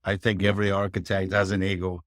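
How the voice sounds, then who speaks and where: Man, Northern Irish accent, middle-aged, very deep voice, thoughtful, modest, dry close recording. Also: completely flat delivery, fast talker, no echo. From Northern Ireland. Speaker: architect guest. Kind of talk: reflective interview storytelling.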